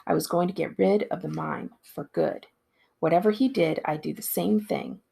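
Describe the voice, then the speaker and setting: Female storybook narrator, librarian read-aloud style: expressive delivery, lower register, strongly raspy. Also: normal speed. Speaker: school librarian reading aloud.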